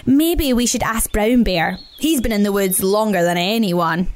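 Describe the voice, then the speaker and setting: A woman's voice: medium pitch, a little slow, natural voice. A woman voicing a young female rabbit who speaks in a sensible, matter-of-fact way. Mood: thoughtful.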